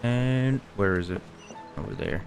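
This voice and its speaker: Laid-back, slow-talking gaming commentator man. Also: lowish voice, creaky, music in the background. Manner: laid-back, dry, short asides. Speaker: gaming YouTuber. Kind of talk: casual gameplay commentary.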